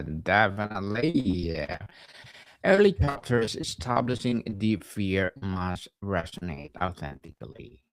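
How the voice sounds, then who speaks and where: Podcast host guy, really slow and careful, low voice, dry close sound. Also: no room sound. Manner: slow, deliberate, reads prepared questions. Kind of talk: podcast interview.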